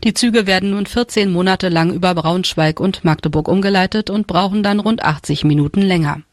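A man reading national radio news in a formal, steady way. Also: sits high, a little fast, no room sound, studio quality. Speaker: newsreader. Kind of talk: scripted national news read.

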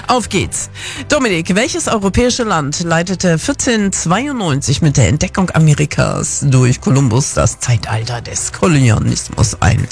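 Female radio presenter for a quiz segment: animated, informal, encouraging, with a music bed underneath. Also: low-pitched, normal speed, vocal fry, husky. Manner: informal, encouraging, frequent short interjections. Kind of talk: chatty live radio quiz moderation. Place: radio studio.

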